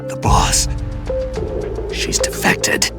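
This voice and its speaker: A man playing a badly wounded soldier in a video game, gruff and terse, weak and short of breath. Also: over loud music. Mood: strained, grim. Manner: terse, halting. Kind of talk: dramatic video game voice acting.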